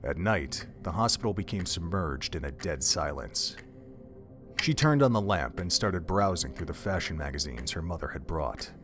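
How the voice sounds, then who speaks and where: A man with a fairly low voice narrates an audio drama. His delivery is solemn and literary, like scripted storytelling, with music faintly underneath. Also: animated, moderate pace.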